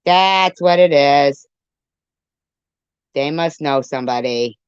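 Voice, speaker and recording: Woman live streamer, slow and low, argumentative and sweary, close dry sound on a dull lo-fi stream. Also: clean tone, dry recording. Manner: blunt, contradicting, repetitive, swearing. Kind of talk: off-the-cuff live stream commentary on played audio. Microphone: phone on a live stream.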